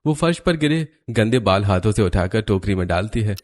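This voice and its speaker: Low-voiced male story narrator. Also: expressive, brisk, a little mellow. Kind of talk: scripted cartoon story narration.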